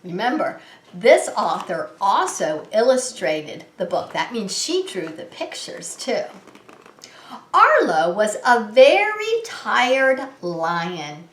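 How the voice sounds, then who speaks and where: A woman's voice: mid-range voice, sing-song, slow pace, fairly bright tone. A woman hosting a preschool story time, talking to young children in a sing-song way with simple questions. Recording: roomy, echoey room sound.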